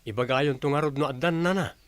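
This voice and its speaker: A man's voice: fairly high pitch, fairly quick, smooth, dry recording, lightly acted. A man acting a polite, uneasy young boarder in an audio drama, respectful, with a Filipino Ilocano accent.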